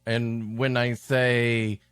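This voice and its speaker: A man's voice: mid-range voice, little pitch movement, very slow, fairly bright tone, smooth, no echo, studio quality, soft background music. A man co-hosting a comedy podcast in casual, ironic banter. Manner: joking, ironic. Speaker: podcast co-host.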